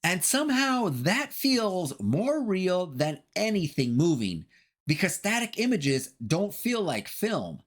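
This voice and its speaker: A man delivers a scripted video essay as its narrator, analyzing horror. His voice sits a little high and moves with a very lively range of intonation. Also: slow.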